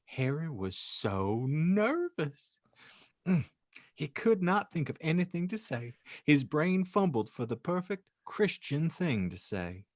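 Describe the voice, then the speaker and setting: A man's voice: fairly high pitch, big pitch swings, slow, dry recording, studio quality. A man narrating a fan fiction aloud as a podcast host, reading theatrically with wry comic asides. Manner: theatrical, wry, breaks into laughter. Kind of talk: comedic dramatic reading with asides.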